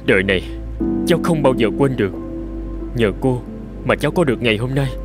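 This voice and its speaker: A man's voice: mid-range voice, lively intonation, fairly quick, over loud music, close to an everyday voice. A man voicing a grateful, polite middle-aged businessman in a cartoon, speaking earnestly. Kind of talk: cartoon dubbing.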